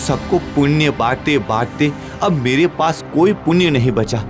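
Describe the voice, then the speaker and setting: An actor voicing a kind, righteous, devout young village man in a Hindi animated moral story, speaking earnestly and preachily. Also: on the high side, moderate pace, natural voice. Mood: anguished prayer. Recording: loud background music.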